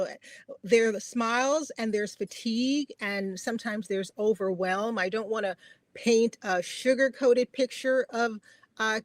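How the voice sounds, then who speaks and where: A woman's voice: a little high, dry recording. A middle-aged woman from Guyana talking in an earnest, reflective way in a personal conversation, repeating phrases for emphasis. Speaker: podcast guest, family caregiver.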